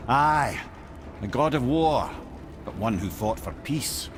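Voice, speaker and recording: Lively old Scottish storyteller. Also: male voice, medium pitch, takes it slowly, background noise. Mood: sage, gentle. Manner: wry, eloquent, theatrical. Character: wry, learned old male storyteller and advisor. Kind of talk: theatrical storytelling in game dialogue.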